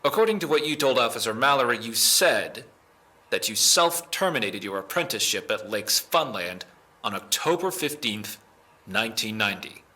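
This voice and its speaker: A man acting as a methodical police interrogator in an audio drama. His mid-pitched voice has a bright, forceful edge. He speaks formally and deliberately, firm and official. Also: expressive. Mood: probing.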